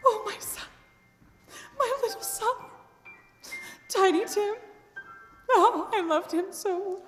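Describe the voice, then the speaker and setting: A woman's voice: very high-pitched, slow, soft background music. A woman playing a devoted, plain-spoken mother in a radio play, in an emotive, heartfelt manner. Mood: grieving. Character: devoted working-class mother, grieving yet plain-spoken. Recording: reverberant hall.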